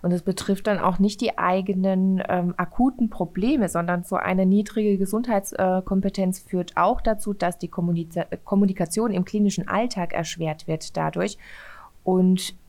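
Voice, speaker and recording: A woman interviewer asking measured, structured questions about health. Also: medium pitch, steady tone, darkish tone. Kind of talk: radio-style interview.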